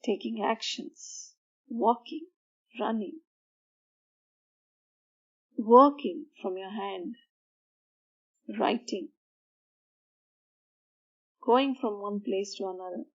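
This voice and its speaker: A woman guiding a sleep meditation in a soothing, measured manner, pausing between short phrases. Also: medium pitch, lo-fi sound. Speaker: meditation guide. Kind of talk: guided meditation for sleep, slow instruction.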